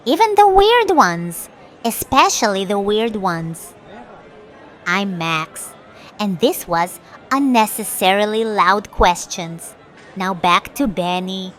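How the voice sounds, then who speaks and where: A woman's voice: medium pitch, lively intonation, takes it slowly, a bit bright. A woman voicing a brash puppet street reporter, theatrical and declamatory, in a mock interview segment. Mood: brash, showy. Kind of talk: mock vox-pop reporting.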